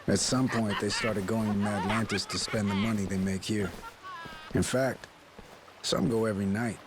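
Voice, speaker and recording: A man's voice: on the low side, fairly even intonation, normal speed, noisy background, natural voice. A man voicing an easygoing islander in a video game dub, casual and chatty. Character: easygoing male islander who gives boat lifts.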